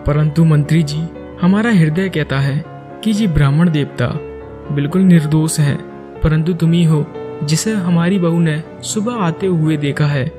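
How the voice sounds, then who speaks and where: A man's voice: fairly high pitch, measured pace, close to an everyday voice. A man playing a stern, grieving king in a cartoon tale, with a commanding, dramatic delivery. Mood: angry, accusing. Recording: music behind the voice.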